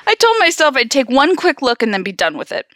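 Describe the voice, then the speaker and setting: A woman with a high, bright voice reads stories aloud as a podcast host. Her delivery is very lively in pitch and drops into slangy, sarcastic asides. The sound is close and dry. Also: a little fast, dry recording. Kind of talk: story read-aloud mixed with casual banter.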